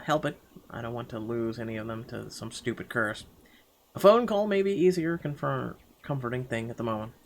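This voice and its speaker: A man reading a visual novel aloud as first-person dramatic narration, halting now and then over the words. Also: mid-range voice, fairly bright tone, a little hiss or hum. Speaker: game player reading the text aloud.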